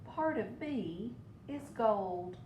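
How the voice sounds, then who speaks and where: A woman voicing an excitable, proud young male leaf in a children's storybook, exclaiming earnestly. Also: extremely slow. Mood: excited, proud. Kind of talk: children's storybook read aloud. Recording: echoey room with background rumble.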